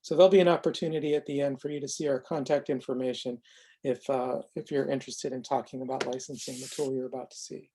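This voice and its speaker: A man presenting a software demonstration in an informal, unscripted way, explaining carefully and haltingly with self-corrections. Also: medium pitch, steady tone, breathy edge. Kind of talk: informal narrated screen demo, unscripted explanation.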